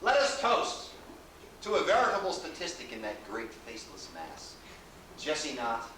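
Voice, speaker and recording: A man's voice: a little high, huge pitch swings, unhurried. Echoey, pompous, mocking orator, played by a man. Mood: mock-solemn, scornful. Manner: grandiloquent, declamatory. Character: pompous, florid, mocking orator giving a toast. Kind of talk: theatrical monologue. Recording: very echoey hall from far off, faint noise.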